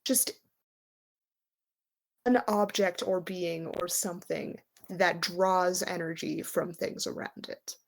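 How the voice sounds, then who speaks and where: A woman narrating as game master of a tabletop roleplaying game, improvising descriptions in a measured, conversational way with pauses. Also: fairly low pitch, steady tone, vocal fry.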